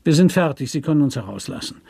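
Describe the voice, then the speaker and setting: An actor playing a calm, shrewd gentleman who questions a convict in a controlled, dry manner in a radio play. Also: fairly high pitch, fast, vocal fry, husky, dry recording, exaggerated voice. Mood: cool.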